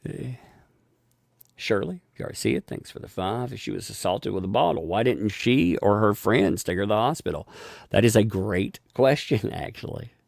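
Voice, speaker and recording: A man hosting a casual live stream, thanking viewers for donations and rambling with frequent restarts and chuckles; he has a gravelly voice. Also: fairly low pitch, lively intonation, normal speed, darkish tone, no echo, clean studio sound.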